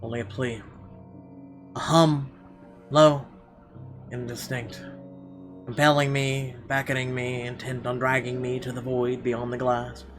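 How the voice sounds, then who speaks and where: A man's voice: mid-range voice, level tone, a little slow, long pauses. Theatrical man narrating over music. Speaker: gaming video host. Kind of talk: dramatic read-aloud of visual novel narration. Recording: music behind the voice. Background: game music.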